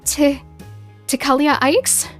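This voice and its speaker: A woman's voice: high-pitched, slow pace, faint music behind, pitched above the performer's own voice. Woman playing a frightened, pleading fantasy heroine. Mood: terrified, faltering. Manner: pleading, stammering, urgent.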